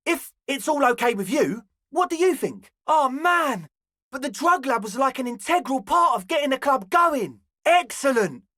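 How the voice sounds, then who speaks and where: A man's voice: very high-pitched, lively intonation, moderate pace, pushed and bright, no echo, studio quality, exaggerated voice. A male actor playing an eager, earnest young raver in a video game, mixing slacker slang with grandiose, slightly pompous phrasing. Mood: eager, excited. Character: balding twenty-year-old raver dreamer, eager, earnest, slightly pompous. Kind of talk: video game character dialogue.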